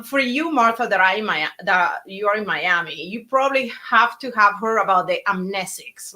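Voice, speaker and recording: A woman from Cuba with a Cuban Spanish accent, chatting casually on a podcast as a guest and telling stories with many fillers and restarts. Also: medium pitch. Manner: animated, storytelling, frequent fillers and restarts. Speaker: guest speaker.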